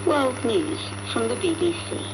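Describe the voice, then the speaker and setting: A woman's voice: sits high, sing-song, measured pace. A woman reading a formal scripted news bulletin on the radio. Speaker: newsreader. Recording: noisy shortwave radio broadcast.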